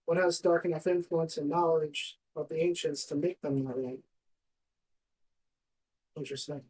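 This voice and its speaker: A woman's voice: deep voice, steady tone, normal speed, a little mellow. Female commentator, casual analytical chat, picked up at a distance from the mic. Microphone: some distance from the mic. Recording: distant from the microphone.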